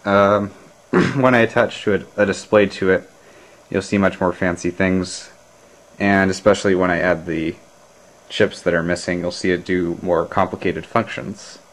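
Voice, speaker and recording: A man casually narrating a show-and-tell of his homebuilt computer, hesitant, with many fillers and throat clearing, recorded on a handheld camera at a workbench. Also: low voice, steady tone, a little slow, poor audio. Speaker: hobbyist vlogger.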